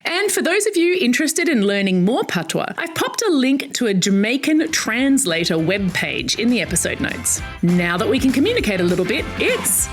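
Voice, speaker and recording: A woman with an Australian accent narrates a kids' lesson. Her voice is high and lively and swoops up and down, and she sounds bright and playful. Soft music plays behind her. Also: moderate pace, few pauses. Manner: bright, playful, animated storyteller. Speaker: host. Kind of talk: scripted educational narration for kids.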